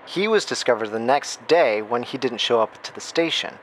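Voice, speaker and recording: Flippant male hacker, performed by an actor, horror podcast. Talkative, awkward, average pitch, slight background noise. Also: lively intonation, moderate pace, fairly bright tone, close to an everyday voice, rough recording. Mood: brisk, excited. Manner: rambling, flippant, self-interrupting. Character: chatty, awkward hacker friend leaving a secret recorded message. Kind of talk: horror audio drama acting.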